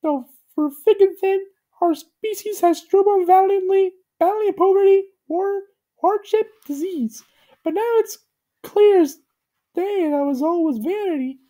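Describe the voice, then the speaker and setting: A woman voicing a small, frightened clay boy in a high, squeaky put-on voice. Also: measured pace, dark tone, poor audio. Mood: mournful.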